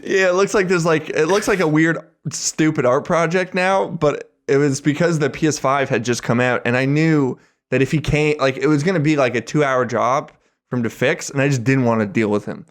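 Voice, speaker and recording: A man's voice: on the high side, moderate pace. A man co-hosting a podcast, telling loose anecdotes in an informal, slangy, sweary way. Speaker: podcast co-host. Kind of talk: casual chat, loose anecdotes. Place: remote podcast call.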